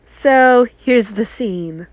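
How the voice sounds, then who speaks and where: A congested woman telling casual, animated stories about her art mishaps as a vlogger. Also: fairly high pitch, very slow, dry recording. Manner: chatty, animated, acts out her own exclamations. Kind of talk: casual story time.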